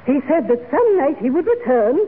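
Grand romantic society dame, very high animated voice, fluttery, affected, old radio comedy, tinny band-limited recording. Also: female voice, moderate pace, a crowd in the background. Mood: wistful, fluttery. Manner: affected, fluttery, theatrical, tittering. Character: genteel, gushing society lady, former actress, grandly romantic. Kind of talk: 1940s radio comedy acting.

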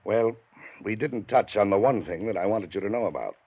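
An actor playing a stern, domineering wealthy uncle in a 1950s radio drama, with a gravelly, husky voice. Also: male voice, on the low side, brisk. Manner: blustering, then stiffly formal. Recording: dull lo-fi 1950s radio transcription.

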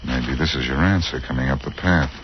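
An actor playing a cocky, quick-witted amateur sleuth who needles people, in 1940s radio style on a muffled old recording. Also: male voice, a bass voice, background noise. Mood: knowing. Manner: smooth-talking, sardonic, teasing.

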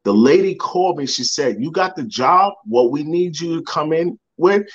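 A man's voice: on the high side, expressive, normal speed, muffled recording. A young man, a motivational speaker, telling a personal story in a podcast interview. He repeats words and acts out the people he quotes.

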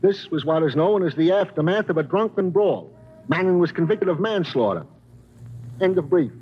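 Chatty american police sergeant, high voice, clipped briefings w folksy asides, hissy old radio drama. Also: male voice, animated, moderate pace. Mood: eager, official. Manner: clipped report-reading, punctuated with folksy asides. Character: chatty, eager male police sergeant briefing his boss. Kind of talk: 1950s radio crime drama acting. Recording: muffled, noisy vintage radio recording.

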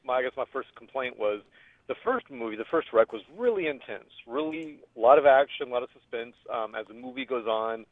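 A man's voice: medium pitch, animated, fairly quick, no room sound. A man leaving a casual spoken review as a caller over the telephone. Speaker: caller giving a film review. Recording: dull, dry, phone-line voicemail.

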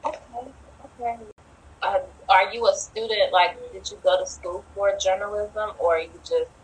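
A woman's voice: medium pitch, moderate pace, breathy edge, low-quality recording. A woman hosting a podcast who asks hesitant, rambling questions full of fillers. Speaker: podcast host. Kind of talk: casual interview questions.

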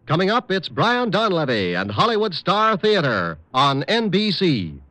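A man's voice: high-pitched, wildly swooping pitch, a little slow, no room sound, low-quality recording. A male radio announcer reading scripted credits and promotions in mid-century broadcast style. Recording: old radio broadcast with loud music.

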